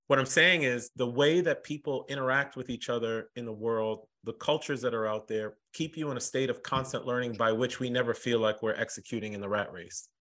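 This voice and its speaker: A man's voice: mid-range voice, fairly quick, few pauses. An American man talking energetically and emphatically in a podcast interview as the guest. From United States. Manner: energetic, emphatic, calls people brother.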